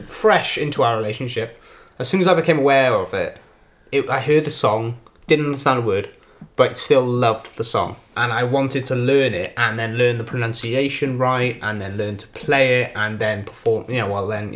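A man's voice: mid-range voice, moderate pace, fairly bright tone. Jokey, rambling man. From Wales. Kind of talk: casual chat.

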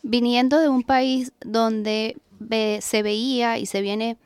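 Venezuelan woman guest, bright-ish smooth voice, flowing talk. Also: on the high side, slow pace, no echo. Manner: expressive, flowing. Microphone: studio microphone.

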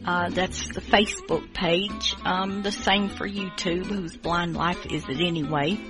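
A woman's voice: fairly low pitch, fairly even intonation, unhurried, music in the background, poor audio. A woman hosting a podcast in a casual, rambling chat full of fillers. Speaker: podcast host.

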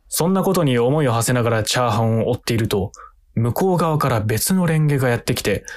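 A man's voice: medium pitch, close to an everyday voice. A man playing an earnest, overthinking protagonist, narrating in formal literary phrasing for a radio drama. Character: earnest, brooding man who overthinks everyday meals. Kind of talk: scripted first-person radio drama narration.

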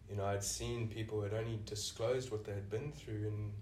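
A South African man speaks in a lowish voice, heard from a little way off the microphone in an echoey room. He answers interview questions thoughtfully, often circling back and restarting his sentences as he works through each point. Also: level tone, brisk, darkish tone, far from the mic, slight background noise. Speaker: senior clinician, podcast guest.

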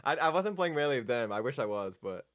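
A young man raised in Japan with an American accent, speaking as a guest in a casual interview, halting, with many fillers and restarts. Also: medium pitch, big pitch swings, a bit bright, no echo.

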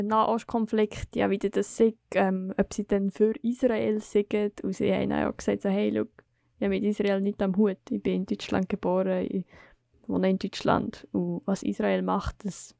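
Woman podcast co-host, gravelly and flat, dry close sound, chatty. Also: medium pitch, unhurried, dry recording. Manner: conversational, agreeing, thinking aloud. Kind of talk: casual discussion.

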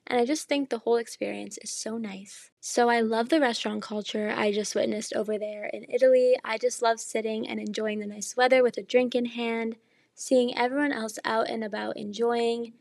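A young woman hosting her own casual podcast, chatty and enthusiastic, jet-lagged and slightly hoarse as she loses her voice. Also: fairly high pitch, steady tone, moderate pace, darkish tone, no echo, studio quality. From Canada. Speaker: podcast host. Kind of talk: casual solo podcast monologue.

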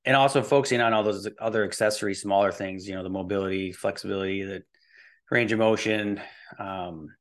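A man's voice: fairly low pitch, fairly even intonation, fairly quick. A man in his early forties talking casually and informally as a fitness coach being interviewed, explaining things in a rambling way. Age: early 40s. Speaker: fitness and nutrition coach, podcast guest.

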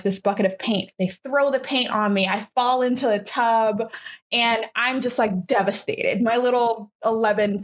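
A woman in her twenties, a podcast host, telling a personal story in a reflective, casual way with many fillers and restarts. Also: medium pitch. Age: 20s.

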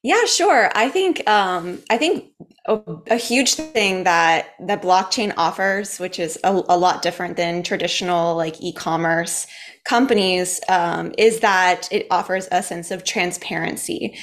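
A woman's voice: on the low side, measured pace. A woman answering as a panelist in a casual way.

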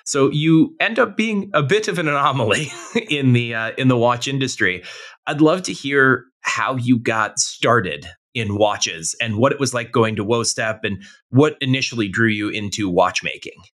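A man hosting an interview podcast, asking measured questions with open enthusiasm. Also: medium pitch, fairly bright tone. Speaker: podcast host.

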